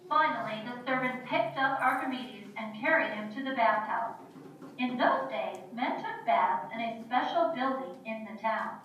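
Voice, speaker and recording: A woman's voice: on the high side, expressive, moderate pace, a bit bright, highs cut off. A woman narrator reading a scripted story for a children's puppet show. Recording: very echoey room, distant mic, faint noise.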